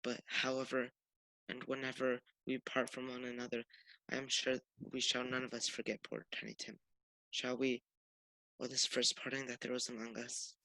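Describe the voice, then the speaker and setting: A young man playing a gentle, grieving father in a radio play, tender and earnest. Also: medium pitch, monotone, normal speed, no echo, low-quality recording. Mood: earnest, consoling. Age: young adult. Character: gentle grieving father comforting his family. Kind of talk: theatrical radio play acting.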